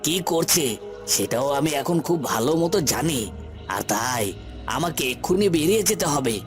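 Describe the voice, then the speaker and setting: A scheming young nobleman in a cartoon, played by a man, smug and secretive. His voice sits a bit high for a man and moves in deliberate phrases, with music clearly playing behind it. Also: expressive, close to an everyday voice. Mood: menacing. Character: scheming young villain, a minister's son, smug and murderous. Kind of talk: Bengali cartoon voice acting. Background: clear background music.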